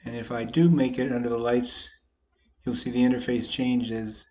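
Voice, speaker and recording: A slightly hoarse man, a poker vlogger, casually talking through his online poker hands with a folksy, self-deprecating manner. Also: medium pitch, level tone, moderate pace, darkish tone. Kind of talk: casual commentary over online poker play.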